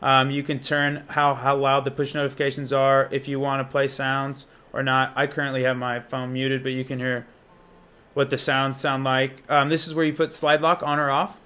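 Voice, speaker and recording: Bright, punchy young American man. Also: mid-range voice, little pitch movement, moderate pace, clear, resonant tone. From Indiana, United States. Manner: informal, slangy, enthusiastic. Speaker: app reviewer and demonstrator. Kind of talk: casual unscripted app walkthrough.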